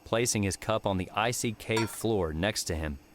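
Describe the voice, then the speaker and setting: A man's voice: on the low side, moderate pace, noisy background. A man reading scripted narration of an adventure novel as an audiobook narrator.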